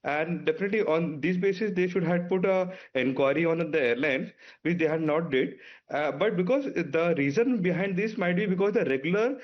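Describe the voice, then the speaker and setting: Indian male expert guest, fairly high voice, hesitant off-the-cuff explaining, dull muffled audio. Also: a little fast. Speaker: aviation expert panellist. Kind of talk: unscripted panel commentary.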